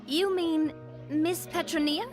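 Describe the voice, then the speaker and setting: A woman playing a friendly, eager young noblewoman in a video game, expressive and polished. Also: extremely high pitch, slow, over loud music. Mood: friendly, pondering.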